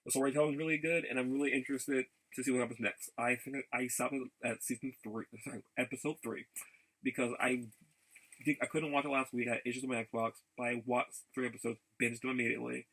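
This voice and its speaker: Gravelly, bright-voiced man rambling casually. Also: mid-range voice, fairly even intonation, low-quality recording. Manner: halting, repeats phrases. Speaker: video blogger. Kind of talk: casual rambling vlog update.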